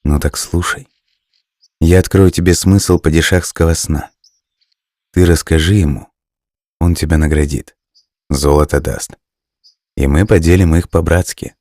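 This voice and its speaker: A man voicing a very low-voiced wise snake. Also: fairly even intonation, unhurried, pauses often, darkish tone, dry recording, clean studio sound. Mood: calm, advising. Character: wise, forgiving female talking snake of folklore.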